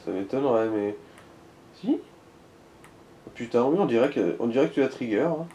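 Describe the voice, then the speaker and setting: Mellow-voiced man, faint noise behind him. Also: mid-range voice, lively intonation, moderate pace, reverberant, lo-fi sound. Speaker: co-commentator advising the player. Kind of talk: casual gaming chat with tips.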